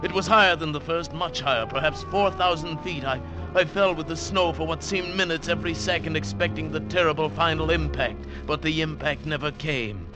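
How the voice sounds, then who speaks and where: An actor playing a mountaineer who recounts his ordeal in dramatic, deliberate phrases, in the style of mid-century radio drama. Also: on the high side, close to an everyday voice. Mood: urgent, breathless suspense. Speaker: narrator and lead actor. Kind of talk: 1940s-50s radio drama narration. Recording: vintage radio recording with music behind.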